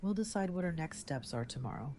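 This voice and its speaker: A woman's voice: deep voice, expressive, brisk, warm tone. An actress plays a shaken woman in charge of a community. She gives orders in a hollow, subdued voice over audible ambient noise, in audio drama style. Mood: numb, commanding. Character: shaken, commanding female community leader. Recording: noticeable background ambience and noise.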